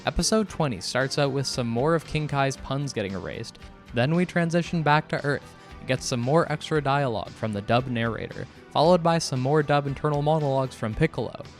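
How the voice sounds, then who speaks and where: The wry male narrator of an online fan video essay, picking things apart at a medium pitch over a music bed. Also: animated, brisk. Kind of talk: scripted narration. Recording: music behind the voice. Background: background music.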